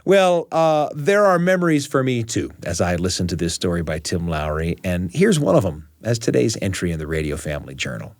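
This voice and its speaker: A middle-aged man with an American accent, hosting and telling a personal story for radio in measured phrases with dramatic pauses and repetition. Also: deep voice, lively intonation. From United States. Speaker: host and storyteller.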